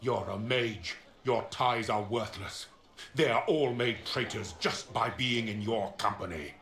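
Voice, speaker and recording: A man's voice: fairly low pitch, a little slow, fairly bright tone. A man playing an arrogant, scornful commander of zealous knights in a fantasy video game, declaiming with haughty contempt. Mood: imperious, dismissive. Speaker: military commander of a knightly order. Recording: echoing open space.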